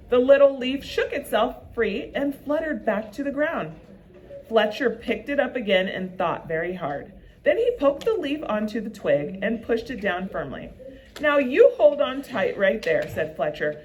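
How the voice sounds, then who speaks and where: A woman's voice: on the high side, slight background noise. A woman working as a teacher and storyteller, speaking expressively and directly to her listeners as for a children's read-aloud. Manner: animated, addresses listeners directly.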